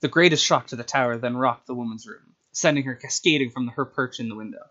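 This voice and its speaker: A man with a medium-pitched voice hosts a casual story-time livestream. His microphone sounds a little dull and lo-fi, and his delivery is that of someone sharing his own writing with viewers. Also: quick pace, fairly bright tone. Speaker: streamer reading own short stories.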